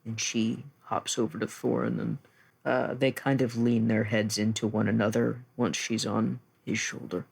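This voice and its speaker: A man playing a tabletop roleplaying game, narrating casually in a measured, explanatory way. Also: mid-range voice, flat delivery, gravelly, husky.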